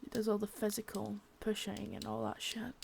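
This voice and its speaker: Woman playing a gravelly sadistic boss. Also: mid-range voice, completely flat delivery, normal speed, a little hiss or hum. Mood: menacing. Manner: soft whispering, mixed with spoken threats; taunting and threatening. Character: sadistic, menacing female boss, cold and taunting. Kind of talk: ASMR roleplay.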